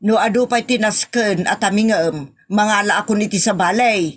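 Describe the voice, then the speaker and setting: A woman's voice: medium pitch, moderate pace, lightly acted. An actress with a northern Philippine accent playing an impatient, nagging woman in a comedy audio drama. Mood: insistent.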